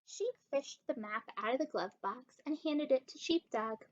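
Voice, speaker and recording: A young woman doing an expressive picture book read-aloud, addressing young children as a story time reader. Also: high-pitched, normal speed, lo-fi sound, slight background noise. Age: young adult. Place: living room indoors.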